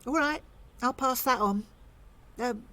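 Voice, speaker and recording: A woman's voice: fairly high pitch, slow, sharp tone. An older actress playing a fussy, old-fashioned, disapproving grandmother in a naturalistic audio drama. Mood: curt. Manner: chiding, matter-of-fact, gossipy. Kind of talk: naturalistic radio drama acting.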